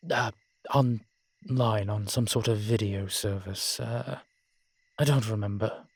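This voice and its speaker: A man's voice: lowish voice, steady tone, takes it slowly, slightly rough, no room sound, heavily acted. A man playing an ancient, proud and world-weary male vampire in an audio roleplay, talking in a wry, haughty way with old-fashioned fancy words. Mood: musing, distracted. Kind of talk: audio roleplay drama, one-sided dialogue.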